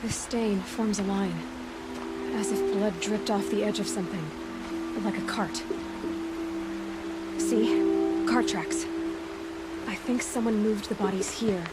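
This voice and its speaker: Woman doing young huntress from a video game, determined, thinking out loud like an investigator, loud music under it. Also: mid-range voice, fairly even intonation, slow, long pauses, close to an everyday voice. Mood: curious, focused. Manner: observant, thinking aloud in short deductions. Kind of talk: video game cutscene acting.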